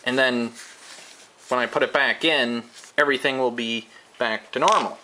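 A man giving a hands-on how-to lesson at a workshop bench, explaining each step slowly and methodically as he works. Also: medium pitch, sharp tone, a little hiss or hum, low-quality recording. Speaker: DIY welding instructor.

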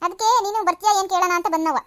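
A woman's voice: very high-pitched, steady tone, very fast, a bit bright. A woman voicing a rustic village woman visiting a neighbour. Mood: friendly. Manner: calling out, rustic. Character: village woman calling on her friend.

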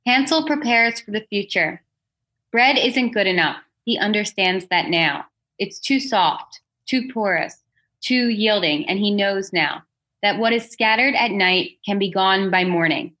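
Woman with a mid-pitched voice discussing poetry. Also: unhurried, sharp tone. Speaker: podcast panelist. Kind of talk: poem reading and literary discussion.

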